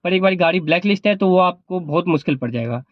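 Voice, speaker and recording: A man's voice: sits high, lively intonation, fast, no room sound, dull audio. A man vlogging informal advice in an explanatory, repetitive way, held back a little by a sore throat. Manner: explanatory, repeats points. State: sore throat, not speaking at full volume. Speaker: vlogger and cab driver. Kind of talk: informal advice vlog talk.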